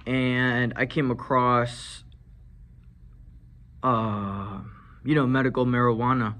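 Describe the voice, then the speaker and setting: A young man with a stutter, talking casually in a personal vlog, soft-spoken with many fillers, into a small handheld mic in a classroom. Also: medium pitch, flat delivery, takes it slowly. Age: young adult. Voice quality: stutters, with blocks and repeated sounds. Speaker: vlogger. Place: indoor classroom. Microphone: handheld lavalier mic held near mouth.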